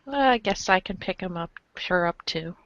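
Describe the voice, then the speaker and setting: A woman's voice: on the low side, expressive, moderate pace, a bit bright, no echo. Woman reading aloud, dry lo-fi sound. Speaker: podcast cast reader. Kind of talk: theatrical read-aloud narration.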